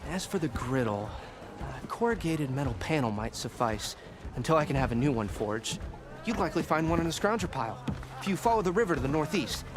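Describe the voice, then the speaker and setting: An actor playing a fussy, put-upon but polite camp cook in a video game, with formal, wordy speech. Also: male voice, fairly high pitch, big pitch swings, fairly quick. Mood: courteous, harried. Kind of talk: video game dialogue acting.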